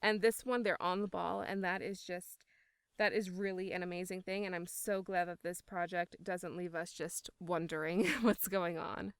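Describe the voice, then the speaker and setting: A woman's voice: fairly low pitch, fairly even intonation, normal speed, clean tone, dry recording. A woman speaking as an interviewed voice actor, in casual, enthusiastic and emphatic conversation.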